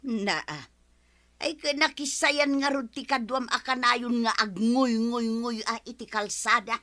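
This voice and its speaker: Nagging village wife, scolding, high bright voice, radio comedy. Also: female voice, fairly even intonation, measured pace. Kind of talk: comedic radio drama acting.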